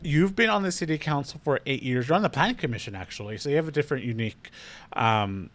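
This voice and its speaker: A man's voice: a little high, lively intonation, fast, fairly bright tone. A man co-hosting a casual local talk show, steadily asking questions with frequent fillers. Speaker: co-host. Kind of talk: casual interview chat.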